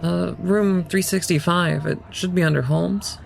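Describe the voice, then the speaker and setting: Man voicing a creaky, hesitant young woman. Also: high voice, steady tone, unhurried, full character voice. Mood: hesitant, confused. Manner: hesitant, evasive, polite. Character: guarded, evasive young woman on spring break. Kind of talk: audio drama acting.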